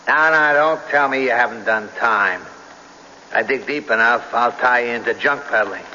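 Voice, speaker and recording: Tough sardonic detective grilling people, sharp voice, clipped, old radio play, muffled and a bit hissy. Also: male voice, medium pitch, normal speed. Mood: hard accusing. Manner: clipped, needling, streetwise interrogation. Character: hard-boiled male police detective, tough, sardonic, relentless. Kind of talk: 1970s radio drama acting. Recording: dull, noisy old radio recording, reverberant hall.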